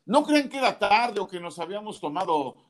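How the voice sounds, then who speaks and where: A man's voice: sits high, sing-song, fairly quick. A man, a sports journalist, telling earnest stories about a late colleague in conversation. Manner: storytelling, earnest.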